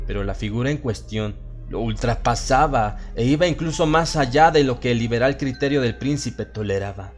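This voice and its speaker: A man with a Latin American Spanish accent narrating a horror story in a solemn, theatrical manner with dramatic pauses. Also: medium pitch. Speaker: audiobook narrator. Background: music and sound effects.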